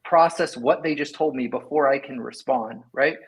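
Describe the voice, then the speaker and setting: A man's voice: mid-range voice, normal speed, husky, poor audio. A middle-aged man from California, a guest in an interview, talking loosely and thinking aloud with many "like" and "right?" asides. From Sacramento, California. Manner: rambling, lots of "like" and "right?". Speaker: engineering leader, guest. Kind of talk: casual interview talk.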